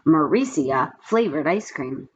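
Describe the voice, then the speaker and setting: A woman voicing a caring, earnest schoolteacher in a children's storybook, with expressive delivery. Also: deep voice, unhurried, a little hiss or hum, pitched below the performer's own voice. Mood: encouraging. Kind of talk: children's storybook read-aloud character.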